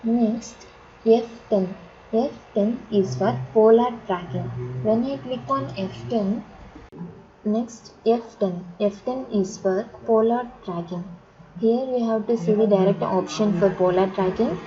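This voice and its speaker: A woman with an Indian accent teaching software step by step as an instructor, in short, repetitive instructional phrases. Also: a little high, measured pace, slight background noise. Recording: dull lo-fi audio, chatter of voices behind.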